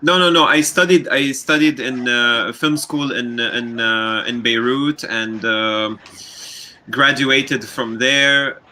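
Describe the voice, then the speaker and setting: A man from Lebanon, a filmmaker, speaking slowly and hesitantly on a panel, often repeating words. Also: medium pitch, pushed and bright, a little hiss or hum. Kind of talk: panel discussion.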